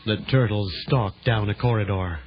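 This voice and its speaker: An actor as the storyteller, giving dramatic scripted narration for a children's audio drama. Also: male voice, on the low side, moderate pace, natural voice. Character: male storyteller of an adventure tale. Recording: dull lo-fi audio with music.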